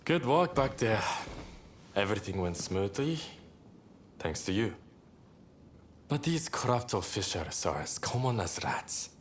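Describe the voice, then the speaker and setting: A man's voice: lowish voice, sing-song, a little slow, long pauses, a little hiss or hum. A man playing a courteous, roguish samurai rebel leader with wry, theatrical phrasing in a video game cutscene. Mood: wry, breezy.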